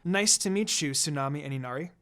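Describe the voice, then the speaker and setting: A reader voicing an earnest, emotional teenage girl fighter in a soft-spoken way. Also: mid-range voice, expressive, fast, close to an everyday voice. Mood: polite.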